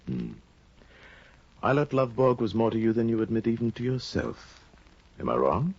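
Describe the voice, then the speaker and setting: An actor playing a sly, insinuating middle-aged judge in a 1950 radio drama, probing and quietly menacing. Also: male voice, mid-range voice, sing-song, moderate pace, breathy edge. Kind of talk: 1950s radio drama acting. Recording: dull lo-fi 1950 radio broadcast.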